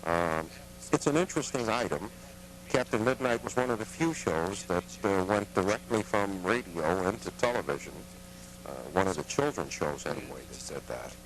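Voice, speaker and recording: A man chatting as a guest about his collection, talking hesitantly with many pauses. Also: deep voice, expressive, a little hiss or hum, rough recording. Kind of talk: casual chat.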